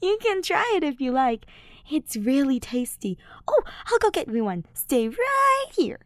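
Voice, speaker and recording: A woman plays a sweet teenage boy from an audiobook story, his voice lifted high and close in a dry space. He is gentle and soft-hearted, speaking with eager, open expression. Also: very animated, unhurried, no echo. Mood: tipsy, giggly. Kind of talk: fan fiction audiobook character voice.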